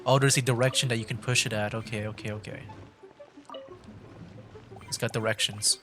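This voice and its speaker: A man streaming a game, casually commenting as he works through puzzles, thinking aloud with halting restarts. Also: on the low side, steady tone, over music.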